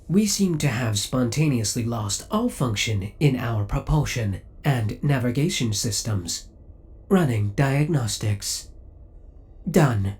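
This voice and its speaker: A man's voice: mid-range voice, darkish tone, close to an everyday voice. A man playing a possessive, coolly obsessive male spaceship AI in an ASMR audio roleplay, speaking with formal, precise, robotic politeness. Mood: clinical, feigned concern.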